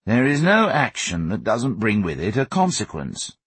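A man's voice: fairly low pitch, wildly swooping pitch, moderate pace, a bit bright, breathy edge, no echo, heavily acted. A man voicing a middle-aged barrister father in a storybook, mild and sardonic, with courtroom rhetoric. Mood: weary, measured.

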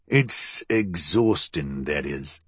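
A man voicing a huge, shaggy, wary old crook in an audiobook, with a rough dialect. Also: lowish voice, lively intonation, dry recording. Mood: gruff doubt. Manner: rough dialect, slow and appraising.

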